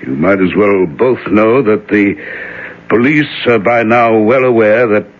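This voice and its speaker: A grave reverend in an old radio play, with a very slow, solemn delivery. His voice is low and husky. The broadcast sounds dull. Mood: somber. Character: grave aging reverend father, somber. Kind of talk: 1970s radio drama acting.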